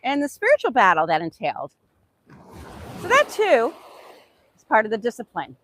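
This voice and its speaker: High-voiced woman, native Hungarian speaker. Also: huge pitch swings, moderate pace, a bit bright. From United States, Hungarian immigrant family. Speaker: English teacher giving a motivational self-improvement talk. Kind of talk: informal motivational talk with rhetorical questions.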